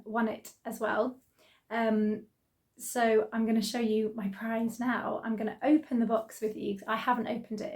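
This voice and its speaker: A middle-aged woman with a British accent, her voice croaky as she recovers from laryngitis. She chats in a friendly, excited way with plenty of ums, pitched a little high for a woman. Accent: British English. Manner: chatty, excited, many ums. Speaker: sewing channel host. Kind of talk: casual vlog chat.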